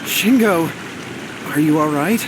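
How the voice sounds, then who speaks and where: A man's voice: on the high side, slow, noisy background. A man playing a timid, anxious young man in a video game, pleading and deferential. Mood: contrite, grateful. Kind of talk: scripted video game dialogue. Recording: music behind the voice.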